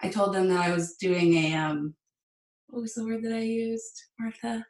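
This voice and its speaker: A woman's voice: mid-range voice, completely flat delivery, moderate pace, darkish tone. Young woman chatting in an echoey room. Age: young adult. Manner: chatty, restarts and laughs while telling a story. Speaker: guest. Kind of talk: casual chat. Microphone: remote call recording.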